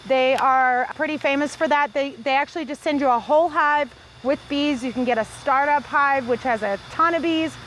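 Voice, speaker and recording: A woman's voice: sits high, moderate pace, a bit bright, slight background noise. A woman from New Zealand who hosts a farm channel, reading viewer comments in an upbeat, chatty way. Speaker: farm owner and channel host.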